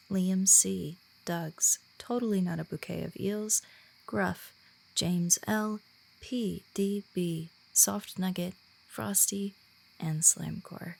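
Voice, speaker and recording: A woman creator addresses her audience in an outro. Her voice is slightly low and husky, with heavy vocal fry. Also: poor audio. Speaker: audio roleplay creator. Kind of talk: channel outro thanking listeners and supporters.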